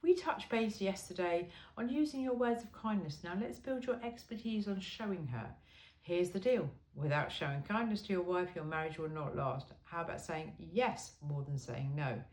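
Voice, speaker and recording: A woman's voice: lowish voice, normal speed. A woman reading a self-help book aloud in an informal, blunt and emphatic way.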